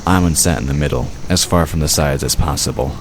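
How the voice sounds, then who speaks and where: A man's voice: a bass voice, a little fast, noisy background. A man reading scripted fantasy story narration as the narrator of an audio drama.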